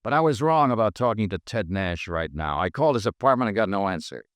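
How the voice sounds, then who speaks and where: An actor playing a brisk, dogged male insurance investigator who narrates his case in a 1950s radio detective drama. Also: fairly low pitch, expressive, dry recording, natural voice. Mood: clipped, matter-of-fact. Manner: brisk, direct, pressing. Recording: 1950s radio recording, dry studio sound.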